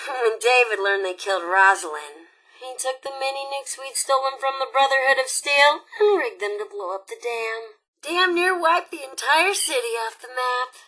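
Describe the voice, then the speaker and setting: A woman's voice: high voice, normal speed, bright, forceful tone, rough recording. A woman voicing a sassy, impatient female robot in a video game, theatrical and sarcastic, with exaggerated swings of emphasis. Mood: wry, matter-of-fact. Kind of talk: video game character dialogue.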